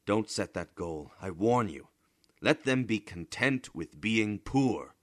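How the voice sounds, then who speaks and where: A man's voice: low voice, bright, forceful tone, no room sound, clean studio sound, voice pushed up, heavily acted. A man voicing a stern, cynical old man in a story, scolding and admonishing with emphatic rhetorical force.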